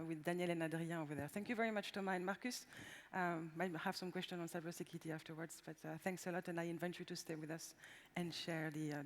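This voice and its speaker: Woman moderator in a reverberant hall. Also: on the low side. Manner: hesitant, many fillers. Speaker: panel moderator. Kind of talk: moderating a conference panel.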